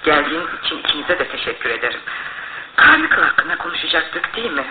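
A woman's voice: deep voice, very animated, quick pace. An actress playing a frail, tired aristocratic lady, querulous and hesitant, in a radio drama. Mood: gracious, vague. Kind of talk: Turkish radio theatre acting. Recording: tinny, band-limited old radio broadcast, faint noise.

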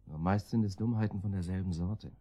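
An actor playing a cynical, controlling middle-aged intruder in a German radio drama, husky and curt, with a condescending, laconic manner. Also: male voice, sits low, fairly quick, mellow. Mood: condescending, brusque.

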